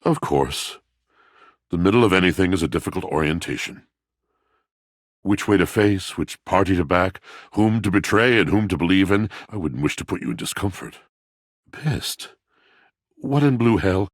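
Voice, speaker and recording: Very deep, husky brooding poet. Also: male voice, animated, no room sound, studio quality. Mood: guarded, formal, then offended, curt. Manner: grandiose, formal, deliberate dramatic delivery. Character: brooding, melodramatic lovelorn male poet, haughty and seductive. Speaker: video game voice actor. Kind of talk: theatrical, poetic character dialogue.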